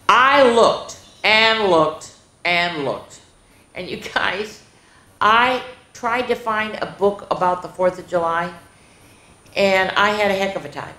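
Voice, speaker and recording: Children's story time host, woman, lowish voice, expressive read-aloud with exclaimed asides, echoey room. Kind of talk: reading a picture book aloud to children, with asides. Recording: roomy, echoing indoor sound.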